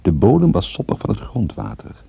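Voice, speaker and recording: An actor playing a dry, sardonic private detective who narrates and talks in a radio drama with wry understatement. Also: male voice, sits low, wildly swooping pitch, brisk, creaky, mellow, slightly rough, dry recording, lo-fi sound, exaggerated voice. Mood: dry narration. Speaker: lead character and narrator.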